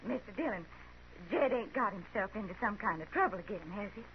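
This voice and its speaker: A woman's voice: mid-range voice, animated, a little fast, lightly acted. A woman playing a talkative, meek old frontier mother in a radio Western, with a folksy rural American accent. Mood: anxious, pleading. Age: older. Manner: folksy, chatty, apologetic. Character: lonely, talkative old frontier mother, meekly devoted to her son. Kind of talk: 1950s radio Western acting. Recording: muffled, noisy 1950s radio recording.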